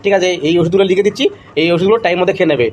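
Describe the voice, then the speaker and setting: A man plays a crooked cartoon quack doctor who brags and blusters. The voice is high for a man and races along very quickly, with some noise behind it. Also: steady tone, darkish tone, lightly acted. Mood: boastful. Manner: bragging, blustering. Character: boastful shady quack doctor, male, comically crooked. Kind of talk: comic cartoon dialogue.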